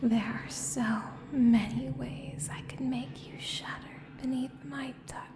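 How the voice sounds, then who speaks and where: Slow, breathy female contract killer, acted by a woman. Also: sits high, steady tone, in a whisper. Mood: cool threatening. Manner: slow, deliberate, hushed and breathy, with pointed pauses. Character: menacing, coolly seductive female contract killer. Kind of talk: ASMR audio roleplay monologue. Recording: roomy long reverb, clear background noise.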